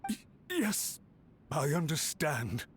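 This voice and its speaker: An actor playing an anxious, subservient government official in a video game, formal, deferential and pleading. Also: male voice, a little high, huge pitch swings, takes it slowly, a little hiss or hum, full character voice. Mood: cowed, grateful.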